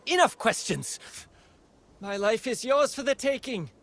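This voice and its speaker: An actor playing a proud, defensive young sword fighter in a video game, earnest, intense and guarded. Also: male voice, mid-range voice, lively intonation, unhurried. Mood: indignant. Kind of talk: video game cutscene acting.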